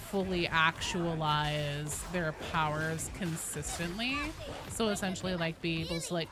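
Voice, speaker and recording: A woman game streamer casually explaining lore in a halting, thinking-aloud way. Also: low-pitched. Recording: clearly noisy background.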